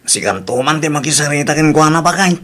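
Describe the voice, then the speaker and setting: A man's voice: fairly high pitch, animated, brisk, fairly bright tone. A man with an Ilocano accent playing a plain-spoken, concerned village husband in a conversational audio drama.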